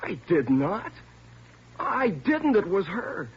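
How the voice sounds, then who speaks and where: An actor playing a scared twenty-year-old car thief in a 1950s radio drama, sullen at first and then pleading. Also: male voice, sits high, sing-song, takes it slowly, breathy edge, exaggerated voice. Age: young adult. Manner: sullen and curt, breaking into frantic pleading. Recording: dull old radio broadcast with faint hiss.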